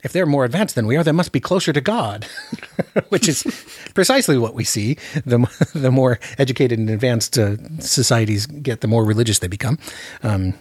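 A man's voice: mid-range voice, lively intonation, brisk, darkish tone, husky, dry recording, clean studio sound. A man hosting a casual film discussion, often repeating words as he works out his thoughts. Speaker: podcast host.

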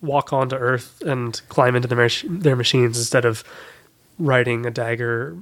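A man's voice: medium pitch, fairly even intonation, normal speed. A man speaking as a guest in a casual discussion of films and books. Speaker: podcast guest.

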